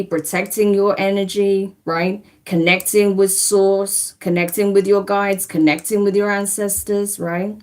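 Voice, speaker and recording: A woman giving a spiritual tarot reading on a livestream. She talks in a soothing, reassuring way and keeps asking "right?" and "okay?". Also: fairly low pitch. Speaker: tarot reader.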